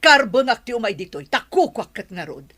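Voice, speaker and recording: Woman acting a husky, haughty older mother. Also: a little high, sing-song, normal speed, fairly bright tone. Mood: haughty, scolding. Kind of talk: Filipino audio drama acting.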